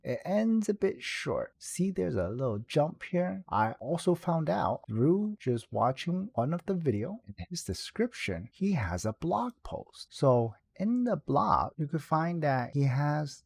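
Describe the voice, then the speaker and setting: A man's voice: mid-range voice, a little mellow, dry recording. A man narrating a casual on-screen walkthrough, explaining things step by step as a video creator. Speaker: video creator giving a game guide.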